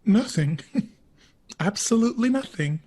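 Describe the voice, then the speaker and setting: Bitter dutiful older sibling in a radio play, raspy and sarcastic, very up and down. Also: female voice, medium pitch, lively intonation, slow, dark tone. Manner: sarcastic, defensive. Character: resentful, dutiful older sibling carrying the family. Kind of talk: audio drama acting.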